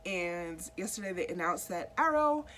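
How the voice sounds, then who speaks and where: A woman's voice: fairly low pitch, lively intonation, normal speed, fairly bright tone, a little hiss or hum. Stuffy-voiced woman chatting comic news. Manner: chatty, asks viewers questions directly. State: stuffy from a cold. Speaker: YouTube host. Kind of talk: casual comic book news chat.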